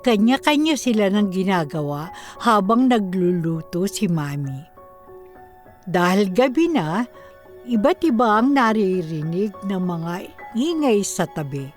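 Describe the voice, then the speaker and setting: A woman's voice: mid-range voice, big pitch swings, a little slow. A woman with a Filipino accent reading scripted narration for a radio drama. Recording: music behind the voice.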